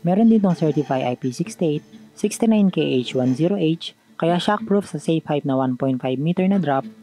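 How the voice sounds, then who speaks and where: A man's voice: on the high side, animated, normal speed, dark tone, soft background music, low-quality recording. A man with a Filipino accent giving a conversational, scripted voice-over as a tech review vlogger.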